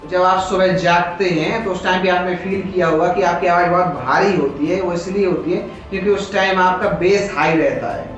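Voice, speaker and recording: High-pitched Indian male teacher in echoey room. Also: fairly even intonation, fairly quick, hardly any pauses, fairly bright tone. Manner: explanatory, asks and answers own questions. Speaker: teacher, coaching instructor. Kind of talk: informal classroom-style lecture, mixing Hindi and English. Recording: echoey room, distant mic, dull sound, background music.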